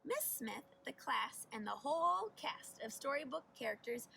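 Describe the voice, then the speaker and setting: A woman narrates a children's picture book in a high, bright voice with animated, deliberate delivery. She is a little far from the mic, with faint noise behind her. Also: vocal fry. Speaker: teacher reading aloud. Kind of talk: expressive children's storybook narration.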